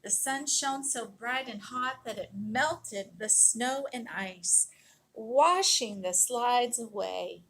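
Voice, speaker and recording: A woman reading a children's picture book aloud in an expressive, dramatic storytelling manner, leaning on key words and drawing them out. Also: a little high, slow, a little hiss or hum, poor audio. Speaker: storybook reader.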